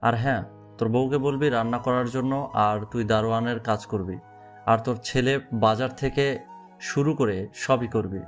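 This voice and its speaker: A man's voice: mid-range voice, fairly even intonation, normal speed, soft background music, lightly acted. Villain uncle for an audio drama: middle-aged, greedy and domineering, curt orders in a level mid-range male voice, as a man acts him. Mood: bossy. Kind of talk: Bengali audio drama acting.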